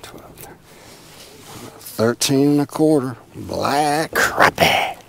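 A middle-aged man talks casually through his fishing as it happens. He is enthusiastic and folksy, with a medium-pitched voice, recorded outdoors on a small boat with clear background noise. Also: lively intonation, drawn-out words. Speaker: fishing vlogger. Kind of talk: casual running commentary while fishing.

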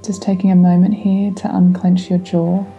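Very mellow, dark-voiced woman guiding meditation. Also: on the low side, flat delivery, highs cut off. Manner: gentle, soothing, unhurried instruction. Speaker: meditation guide. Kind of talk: guided meditation. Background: relaxing music.

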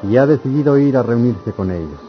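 An actor playing a kindly, concerned village priest in an old-fashioned radio serial, measured and paternal. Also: male voice, mid-range voice, expressive, highs cut off, soft background music, natural voice. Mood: persuasive.

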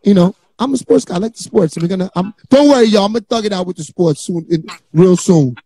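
A man's voice: sits high, fast talker, mellow, breathy edge. A middle-aged man, hosting a casual live-stream interview with joking banter, on a studio mic in a small home studio. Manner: joking, laid-back banter. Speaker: host. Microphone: handheld studio mic on a stand.

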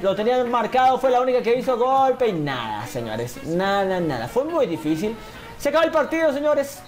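A young man chats into a desk mic in a small room, his pitch swinging widely as he narrates his football game and answers viewers. He keeps calling his audience "señores." Quiet game music plays behind him. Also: huge pitch swings, moderate pace. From Colombia. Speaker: gaming YouTuber. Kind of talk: casual gameplay commentary.

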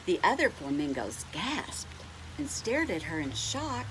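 A middle-aged woman reading a picture book aloud to young children, expressively, drawing out words and pausing to ask them questions. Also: fairly low pitch, big pitch swings, background noise. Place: indoors at home on a couch.

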